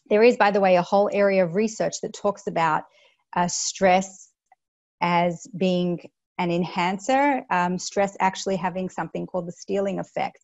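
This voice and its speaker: Australian woman, 30s, earnest educator on a video call, lowish voice, lo-fi sound. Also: creaky, a little mellow, no echo. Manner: earnest, many fillers and restarts. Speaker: school educator on a panel. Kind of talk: webinar talk to teachers. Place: small room indoors. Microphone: earphone mic on a video call.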